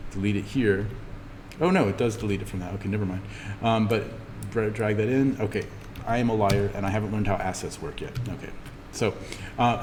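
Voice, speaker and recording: A man giving an informal in-class software tutorial as the instructor, thinking aloud haltingly as he demonstrates. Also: fairly low pitch. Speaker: college instructor. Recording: reverberant room, clearly noisy background.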